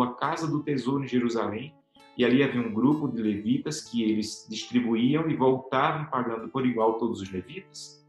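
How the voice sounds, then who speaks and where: A man in his thirties from Brazil teaching a Bible lesson to camera, earnest and emphatic, stretching key words for stress. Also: mid-range voice. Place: home office with bookshelves, indoors. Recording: echoey room with soft background guitar.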